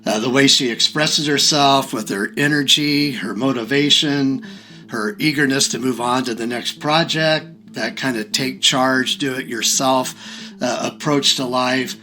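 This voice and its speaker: A man's voice: medium pitch, measured pace, sharp tone, soft background music. A man hosting a conversational podcast, affirming his guest and explaining points to listeners. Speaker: podcast host. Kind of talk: conversational interviewing and wrap-up commentary.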